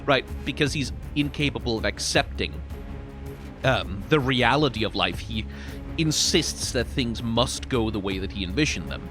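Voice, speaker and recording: A man giving casual, off-the-cuff reaction commentary in an animated, colloquial, sardonic way with some swearing. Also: mid-range voice, normal speed, music in the background. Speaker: reaction video host, commentator.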